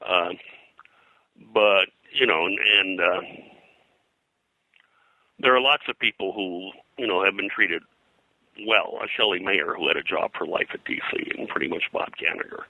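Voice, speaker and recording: Older male interview guest, low-pitched, dry close sound. Halting, discursive storyteller in casual conversation. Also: bright, forceful tone, dry recording, rough recording. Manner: halting, discursive, hesitant phrasing. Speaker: interview guest, pop culture historian.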